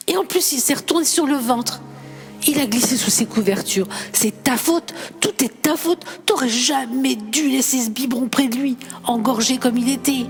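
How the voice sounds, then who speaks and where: A woman's voice: mid-range voice, darkish tone, lightly acted. A woman voicing a distraught father who blames his wife for his son's death. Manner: accusing, wailing. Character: grieving father, accusing and furious.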